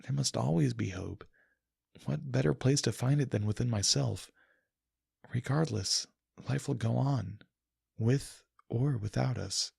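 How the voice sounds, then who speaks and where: A man voicing a gentle, hopeful female pony goddess in an audiobook, calm, kindly and earnest. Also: medium pitch, a little slow, creaky, breathy edge, no room sound, clean studio sound, pitched above the performer's own voice, exaggerated voice. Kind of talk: audiobook character voice.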